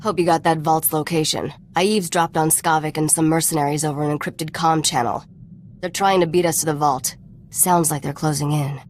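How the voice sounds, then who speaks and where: Very low-voiced, cool treasure-hunting woman actress. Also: fairly even intonation, a little fast, slight background noise, lightly acted. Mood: brisk, urgent. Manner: businesslike, guarded. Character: cool, pragmatic woman treasure hunter, guarded and unsentimental. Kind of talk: video game character acting.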